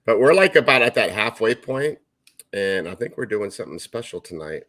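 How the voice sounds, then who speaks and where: A man's voice: fairly low pitch, big pitch swings, moderate pace, a bit bright. Easygoing man on a headset. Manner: easygoing, joking. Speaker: show host drawing a giveaway winner. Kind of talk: casual live-stream chat. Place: home studio, indoors.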